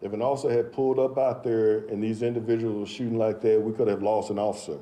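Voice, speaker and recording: Dark, mellow-voiced police chief, male. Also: fairly low pitch, little pitch movement, fast, rough recording. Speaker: police chief speaking to press. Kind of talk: official statement to reporters.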